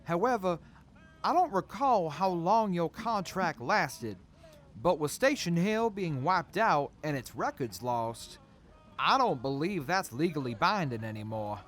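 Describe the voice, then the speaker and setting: A man's voice: on the high side, sing-song, a little slow, a bit bright. A man acting in an audio drama as a polite, earnest crew member who explains things carefully. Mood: explanatory.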